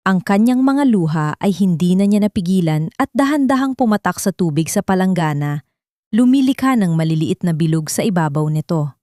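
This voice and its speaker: A woman's voice: fairly low pitch, lively intonation, fairly quick, dark tone, dry recording, studio quality. A woman narrating a dramatic audio love story as scripted storytelling.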